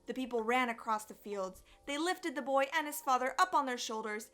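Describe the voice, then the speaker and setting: A woman reading a children's story aloud in an expressive, deliberate storyteller's way. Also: high-pitched. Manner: animated, deliberate storytelling with acted sound effects. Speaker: storyteller, elementary music teacher.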